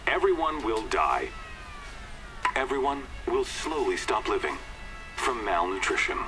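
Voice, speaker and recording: A man's voice: on the low side, big pitch swings, a little slow, over music. An actor playing a pompous, short-tempered corporate chairman in a video game, with a polished public-speaking manner. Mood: falsely reassuring. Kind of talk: video game scripted address.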